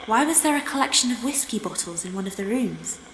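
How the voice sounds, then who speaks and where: A woman's voice: mid-range voice, animated, brisk, a bit bright. An actress playing a woman investigator in a horror game, asking direct, probing questions. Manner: direct, inquisitive. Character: woman investigator questioning spirits in a haunted hotel. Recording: echoey with clear background noise.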